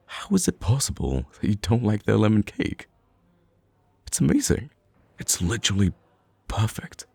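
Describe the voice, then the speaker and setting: A man's voice: lowish voice, vocal fry, warm tone, slightly rough, dry recording, exaggerated voice. A man playing a playful, teasing young man bantering casually with a close friend in a conversational audio roleplay. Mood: playful disbelief. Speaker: ASMR roleplay voice actor. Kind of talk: conversational ASMR roleplay acting.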